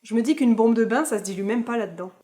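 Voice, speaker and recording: High-voiced chatty woman vlogger. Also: quick pace, mellow. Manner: playful, chatty, joking asides. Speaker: vlogger host. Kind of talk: casual vlog monologue.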